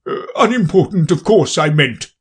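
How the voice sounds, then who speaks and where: A man's voice: fairly high pitch, animated, moderate pace, husky, voice pushed up. A man doing a blustering pompous old king. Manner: loud, pompous proclamations. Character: fussy blustering old male king judge.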